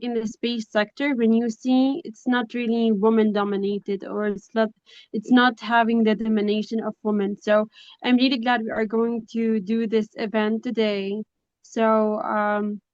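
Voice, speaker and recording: A young woman introduces herself as a webinar guest. Her pitch is fairly high and stays very even. The room adds no echo, though the call audio is slightly dull. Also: measured pace, clean tone. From Lebanon. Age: young adult. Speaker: guest speaker, project coordinator. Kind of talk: informal self-introduction at a webinar. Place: online video call. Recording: dry but dull, lo-fi video call.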